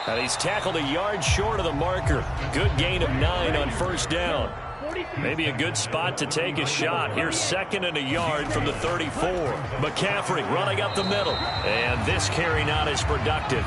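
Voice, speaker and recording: A man playing a brisk, upbeat American play-by-play football announcer in a video game. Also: fairly high pitch, over loud music, lightly acted. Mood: focused, brisk. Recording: game audio with loud stadium noise.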